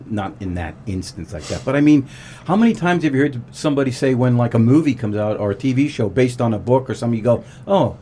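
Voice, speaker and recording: A man's voice: mid-range voice, animated, fast, dark tone, a little hiss or hum. A man hosting a radio show in a casual, conversational way. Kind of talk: casual chat.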